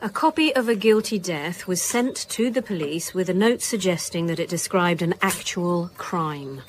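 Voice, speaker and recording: A woman's voice: lowish voice, normal speed, a little hiss or hum, natural voice. A British woman detective inspector as an actress plays her in a naturalistic radio drama. She questions with dry, probing insistence and a sceptical edge. A faint ambience sits behind her voice. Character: brisk, sceptical female police detective inspector.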